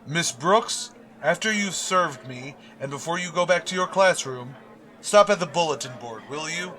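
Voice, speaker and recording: Guy doing stuffy middle-aged school principal, radio comedy, sarcastic and wordy, real sharp forceful voice. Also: on the high side, expressive, poor audio. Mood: dry, restrained. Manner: pompous, florid, sarcastic, deliberate. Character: pompous, long-suffering middle-aged male high school principal. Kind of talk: old-time radio sitcom acting.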